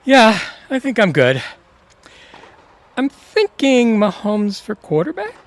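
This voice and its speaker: Man acting an easygoing, high-voiced buddy. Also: wildly swooping pitch, slow pace, heavily acted. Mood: teasing. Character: easygoing, joking sports-fan buddy. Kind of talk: audio theatre comedy acting.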